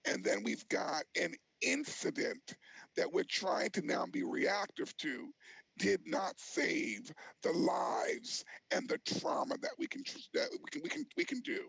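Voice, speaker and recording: High-pitched man with a gravelly, creaky voice. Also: a bit bright, dry recording, low-quality recording. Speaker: expert presenter. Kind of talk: virtual commission presentation.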